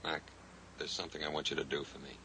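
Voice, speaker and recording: A sly, deep-voiced scheming villain. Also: male voice, level tone, fast, noisy background. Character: scheming, smooth-talking cousin villain. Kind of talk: 1950s radio drama acting.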